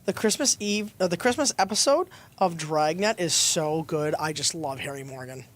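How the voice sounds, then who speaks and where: A woman's voice: deep voice, expressive, moderate pace. A woman with an opinionated, plain-spoken way of talking, reading conversationally in an audio play.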